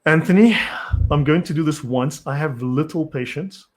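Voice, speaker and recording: A man's voice: on the high side, moderate pace. A South African man hosting a live stream, who reads from articles and answers chat comments bluntly and impatiently. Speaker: channel host.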